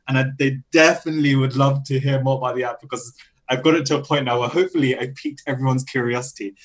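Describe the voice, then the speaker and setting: A man hosting a podcast remotely from London, gushing and excitable, his voice slightly croaky after a coughing fit. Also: a little high. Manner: excitable, gushing, laughs often. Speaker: podcast host. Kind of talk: enthusiastic podcast interviewing. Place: home studio in London. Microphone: remote recording.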